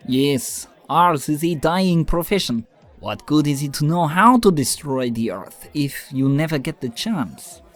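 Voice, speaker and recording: A man's voice: a little high, expressive, a little slow, soft background music. A man playing a proud, mournful Soviet bomb scientist in a comic dub, declaiming his lines. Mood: mock-lofty.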